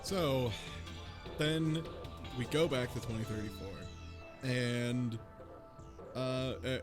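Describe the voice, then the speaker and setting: Mid-pitched man chatting over music. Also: takes it slowly. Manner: informal, profane. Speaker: book club podcast panelist. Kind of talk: casual group discussion. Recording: music playing behind the voice.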